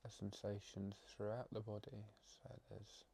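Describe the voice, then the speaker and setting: A man leading an unscripted guided meditation, speaking slowly in short, halting phrases with long pauses between them. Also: on the low side, level tone, creaky, dark tone, husky. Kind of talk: guided meditation, spoken off the cuff.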